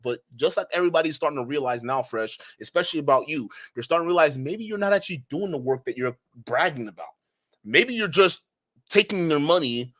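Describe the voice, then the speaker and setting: A man with a slight Nigerian accent streams live commentary to his chat, his voice bright and a bit high. He mocks his targets, laughs often and trips over restarts as he talks.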